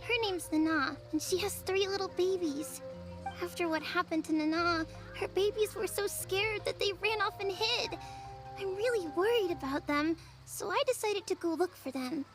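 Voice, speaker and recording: A woman's voice: very high-pitched, moderate pace. A woman voicing an earnest, worried young village child in a video game, sincere and youthful. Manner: sincere, youthful, plain-spoken. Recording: game music under dialogue.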